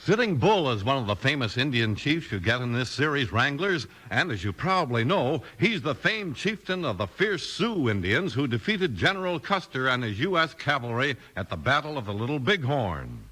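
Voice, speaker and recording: Animated, bright American male radio announcer. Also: mid-range voice, normal speed, few pauses. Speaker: announcer and narrator. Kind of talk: scripted 1950s radio announcing.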